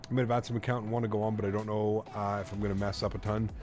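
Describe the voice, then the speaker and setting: Relaxed low-voiced man chatting on stream. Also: rapid-fire, faint music behind. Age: 30s. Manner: relaxed, chatty, thinks aloud with fillers. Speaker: flight sim streamer. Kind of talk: casual live-stream chat reading viewer comments.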